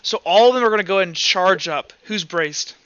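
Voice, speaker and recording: High, bright-voiced man running a game. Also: animated, brisk, clean tone, no room sound, dull audio. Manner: casual, thinks aloud, counts out dice results. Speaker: game master. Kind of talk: running a tabletop roleplaying game, improvised rules talk and narration.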